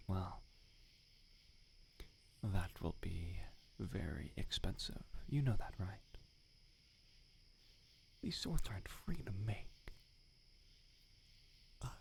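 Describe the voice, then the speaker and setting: Man acting as tsundere male swordsmith, deep voice, slow halting delivery, breathy half-whisper, prickly but smitten, teasing, ASMR roleplay. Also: darkish tone, heavily acted.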